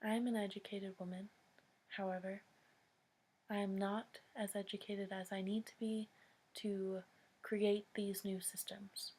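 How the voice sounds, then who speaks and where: An American woman giving an earnest public address, speaking solemnly and deliberately in short phrases with long pauses. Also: mid-range voice, little pitch movement, darkish tone, husky. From United States.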